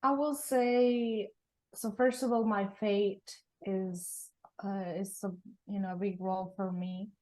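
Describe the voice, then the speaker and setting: Woman, earnest interview guest, average pitch. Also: fairly even intonation, takes it slowly, dark tone, poor audio. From Mexico. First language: Spanish. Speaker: guest, real estate investor. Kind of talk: interview storytelling.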